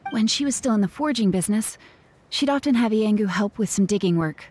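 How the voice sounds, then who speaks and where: A woman's voice: mid-range voice, expressive, fairly quick, darkish tone, a little hiss or hum, natural voice. A woman playing a composed, matter-of-fact young adventurer in a video game. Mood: puzzled, thoughtful. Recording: faint music under the voice.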